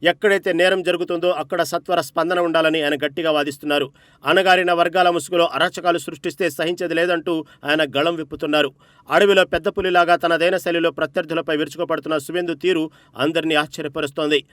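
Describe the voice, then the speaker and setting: A man's voice: on the high side, fairly even intonation, fast, a bit bright, no echo. A man reading a scripted news report as narrator, with an emphatic, dramatic delivery.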